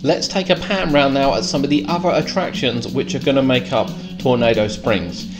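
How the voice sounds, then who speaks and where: A man's voice: medium pitch, moderate pace. A British man giving a descriptive tour commentary as a theme park vlogger. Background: guitar music.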